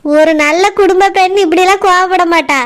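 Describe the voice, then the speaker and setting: A woman's voice: extremely high pitch, steady tone, fairly quick. A woman voicing a sensible, reproving neighbour in a comic cartoon, admonishing and reasoning, with a Kanyakumari Tamil accent.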